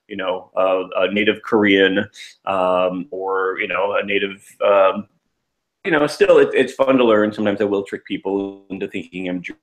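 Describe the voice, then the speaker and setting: Male co-host, lowish pitch, loose rambling delivery. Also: normal speed, rough recording. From United States. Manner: rambling, many restarts and fillers. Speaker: podcast co-host. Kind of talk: casual chat.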